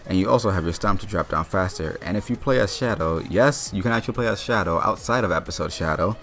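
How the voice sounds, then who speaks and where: A man's voice: low voice, fast talker, fairly bright tone, soft background music. A man narrating a scripted video game review, explaining things methodically as the host.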